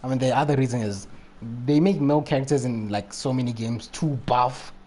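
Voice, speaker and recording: A man's voice: medium pitch, fairly quick, darkish tone. A man doing casual live game commentary and chatting with viewers in a playful, rambling, reactive way. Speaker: game livestreamer.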